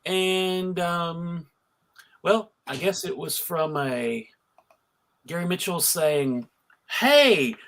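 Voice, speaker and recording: High, bright-voiced man from Alabama, exclamatory. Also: expressive, extremely slow, long pauses. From Alabama, United States. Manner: exclamatory, joking. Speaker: host of a fan convention panel. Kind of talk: casual animated panel chat.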